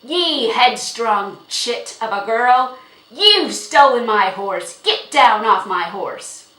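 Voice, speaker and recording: A woman voicing a hot-tempered, bullying old man in an audiobook, snarling and shouting in rough, old-fashioned rustic speech. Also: on the high side, expressive, takes it slowly, reverberant, distant mic, pitched above the performer's own voice, full character voice, rough recording. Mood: furious, threatening. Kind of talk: audiobook character acting.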